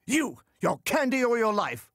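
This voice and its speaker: Casting: mischievous game prankster, theatrical, high, drawn-out and wildly animated. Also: female voice, dry recording, clean studio sound, full character voice. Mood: gleeful teasing.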